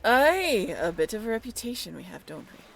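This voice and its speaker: A woman's voice: on the high side, sing-song, moderate pace, exaggerated voice. A woman voicing a brash, commanding pirate deck boss who barks at the crew in an audio drama. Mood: proud, challenging. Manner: swaggering, barking orders to the crew. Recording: clear background noise.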